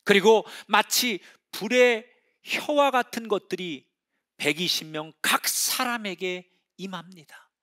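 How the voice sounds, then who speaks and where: A middle-aged male pastor preaching a sermon, telling the story dramatically and swinging between hushed whispers and loud exclamations. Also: high voice, slow pace.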